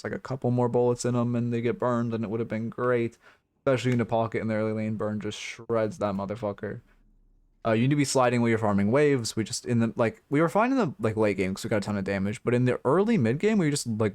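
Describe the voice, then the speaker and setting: A hoarse man giving blunt, rambling game coaching notes on a livestream, full of fillers and restarts. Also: fairly low pitch, a little mellow, no echo. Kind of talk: casual livestream coaching talk.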